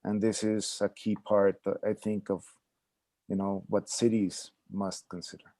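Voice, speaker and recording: Man, dark and dry. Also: fairly low pitch, level tone, dry recording. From Colombia. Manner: thoughtful, measured, hesitant fillers. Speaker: panelist. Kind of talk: online panel introduction. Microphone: video call.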